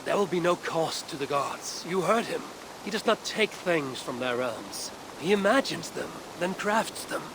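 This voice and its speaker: An actor playing an earnest, curious Viking warrior leader in a video game, speaking deliberately. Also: male voice, a little high, lively intonation, background noise. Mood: reassuring. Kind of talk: video game cutscene acting.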